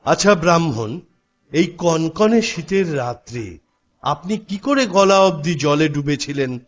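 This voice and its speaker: A stern, questioning king from a cartoon, acted by a man, formal and commanding. His voice sits fairly high for a man. The soundtrack is a little dull. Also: expressive, a little slow. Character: stern, questioning adult Indian king holding court. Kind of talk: cartoon voice acting.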